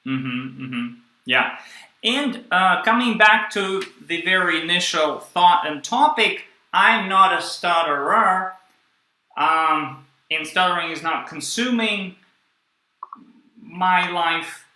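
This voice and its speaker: A man interviewing a guest, asking long questions in slow, searching phrasing full of fillers. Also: high voice, lively intonation, sharp tone. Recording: echoey room.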